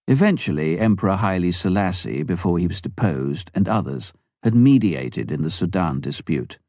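A man narrates a memoir in a deep, warm voice. His reading is measured and even, recorded close and dry with no room sound. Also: no echo. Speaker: audiobook reader.

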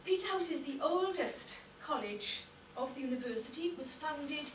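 A middle-aged woman with a Welsh accent, a native Welsh speaker, giving a measured public lecture as a university lecturer. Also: sits high, lively intonation, recorded from a distance, background noise. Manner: measured, lists names with careful emphasis. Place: darkened lecture hall. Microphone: far room recording. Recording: echoey hall recorded from afar.

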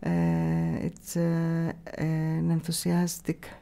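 A middle-aged woman with a Greek accent answering interview questions in a halting way, searching for words, on a studio microphone. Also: low voice, very animated, vocal fry, warm tone. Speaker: radio station staff member, interviewee. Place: small radio studio. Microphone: broadcast mic on boom arm.

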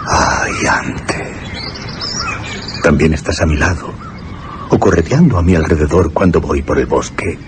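A haunted young gentleman of gothic radio drama, grieving and brooding. The voice is very low with a Spain accent, over clearly audible background noise. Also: exaggerated voice. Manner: brooding, literary.

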